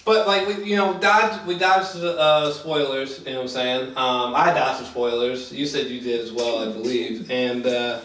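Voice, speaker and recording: A man chatting casually and without a script as a movie reviewer, rambling, excitable and swearing freely. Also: medium pitch, moderate pace, hardly any pauses. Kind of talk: casual unscripted chat review. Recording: roomy echo, distant mic.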